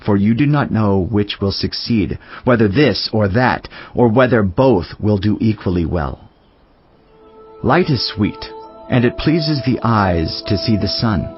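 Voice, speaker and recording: Wise biblical teacher, solemn, measured, dramatized scripture reading, dull recording, music behind. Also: male voice, lowish voice, expressive. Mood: solemn, reflective. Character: solemn male Teacher, wise biblical sage reflecting on life. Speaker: scripture reader. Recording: dull lo-fi audio over music.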